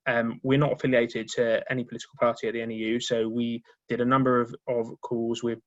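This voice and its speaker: A man presents his organisation's work over a conference call. He talks in a halting way, repeating words and restarting his phrases. His mid-pitched voice comes through slightly dull remote call audio. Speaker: union marketing officer.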